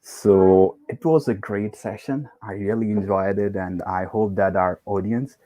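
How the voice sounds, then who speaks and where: A man's voice: fairly low pitch, steady tone, measured pace, warm tone. A man with a Pakistani English accent hosting an online tech event on a video call, formal and polite. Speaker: event host. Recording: dull lo-fi sound.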